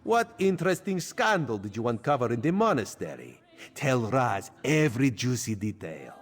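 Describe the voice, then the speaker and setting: Sly, playful cat-folk spy for a studio game, lively swooping delivery, long reverb tail. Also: male voice, medium pitch, normal speed, slightly rough. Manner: speaks of himself in the third person, playful. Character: sly male cat-folk spy, talks of himself in third person.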